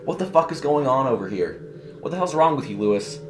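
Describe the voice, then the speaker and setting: A man voicing an angry, stern male store manager in a horror audio story, shouting with restrained fury. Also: medium pitch, big pitch swings, fairly quick, over music, pitched above the performer's own voice, natural voice. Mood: angry, alarmed.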